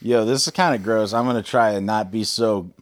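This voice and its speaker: A man's voice: fairly low pitch, a little fast, no echo. A man co-hosting a comedy podcast, telling a crude story in a blunt, profane, loose way. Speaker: podcast co-host.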